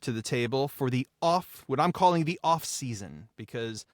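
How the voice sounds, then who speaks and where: A man on a podcast panel making casual announcements in a halting way with emphatic pauses. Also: mid-range voice, animated, no echo, studio quality. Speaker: podcast panelist from the production team.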